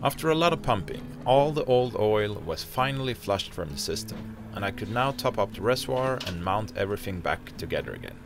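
A man's voice: on the low side, big pitch swings, fairly quick, creaky, few pauses, music in the background. A Swedish man in his thirties, a sailing vlogger, explaining repairs and the voyage in a matter-of-fact way while on a boat. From Sweden. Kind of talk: casual vlog narration. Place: on a sailboat at sea and in harbor. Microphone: handheld camera on a selfie stick. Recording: loud wind and engine noise. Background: boat engine and water.

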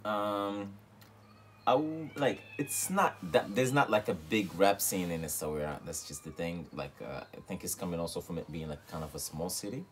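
A young man from Morocco with an extremely low voice chats in a relaxed way, full of fillers and quiet laughs. He is recorded close on a phone in a small room, with a faint hiss behind him. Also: normal speed. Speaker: guest rapper. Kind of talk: casual interview. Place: small room with reed-panel wall.

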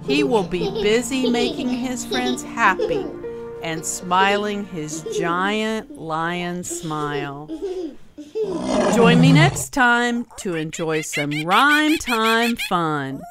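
A woman reading a rhyming children's picture book aloud in an expressive, deliberate storytelling manner. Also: mid-range voice. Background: music behind the voice.

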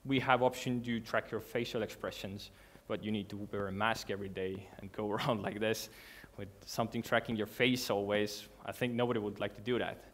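A man's voice: lowish voice, fairly even intonation, a bit bright. Man giving an earnest talk on stage. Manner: earnest, halting, with restarts and non-native grammar. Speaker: conference speaker. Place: large dark hall with a seated audience.

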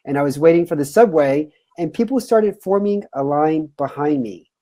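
A man's voice: on the high side, expressive, normal speed, dark tone. A man in his thirties hosting a webinar over a video call from a room at home, upbeat and loose, with many fillers. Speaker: webinar host.

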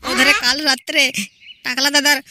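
A man voicing a foul-mouthed, excitable young village husband in an amateur comedy cartoon, scolding in an exasperated way. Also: extremely high pitch, moderate pace, full character voice. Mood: urgent. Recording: very noisy amateur cartoon audio.

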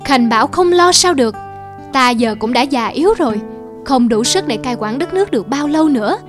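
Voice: high-pitched, animated, a little fast, over music. An actor voicing a weary, aging, childless king in a cartoon fairy tale, solemn and stately.

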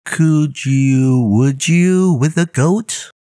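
Small pesky storybook creature, played by a man: high, insistent, sing-song, eager to persuade. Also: extremely slow, warm tone, no echo, clean studio sound. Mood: excited pestering. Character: pushy, eager, high-voiced small creature pestering with food. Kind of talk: storybook character voice.

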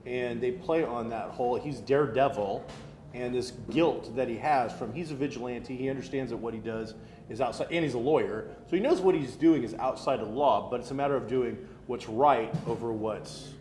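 A middle-aged man in casual panel chat about pop culture, speaking as an opinionated, excitable fan. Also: normal speed. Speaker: geek talk show panelist. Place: indoor room, panel around a table. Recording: roomy echo, faint rumbling noise.

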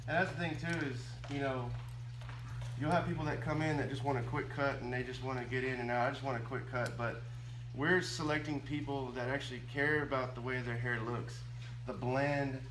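A man's voice: medium pitch, brisk, recorded from a distance. A man in his thirties, a barber and shop owner, talking earnestly in a salesy way with many fillers while he cuts hair in his barbershop. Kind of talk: casual chat while cutting hair. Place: barbershop, indoors. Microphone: camera on a stand a few feet away. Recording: room recording with steady hum.

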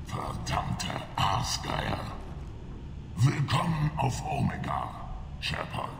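A menacing alien enforcer in a video game. The voice is very deep, gravelly and slow, curt and commanding, in an echoey space with noisy ambience. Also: male voice, wildly swooping pitch, exaggerated voice. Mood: curt, scornful.

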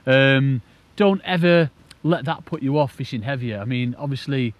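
A man's voice: medium pitch, moderate pace. British man, casual enthusiastic fishing presenter. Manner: enthusiastic, informal, rambling explanations. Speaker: angling presenter demonstrating a rig. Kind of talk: casual instructional chat to camera.

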